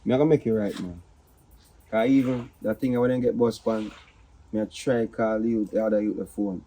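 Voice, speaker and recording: A man's voice: lowish voice, fairly even intonation, a little fast, warm tone, lightly acted, low-quality recording. A man with a Jamaican accent playing an earnest, defensive Rastaman in a drama, pleading and repeating himself. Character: earnest, defensive Rastaman confessing to his lover.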